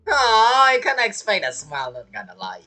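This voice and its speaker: Lowish husky girl streamer, chatty reactions, really animated, faint game music behind. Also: brisk. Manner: expressive, bantering, repeats words. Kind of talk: casual reaction commentary over gameplay. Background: video game music.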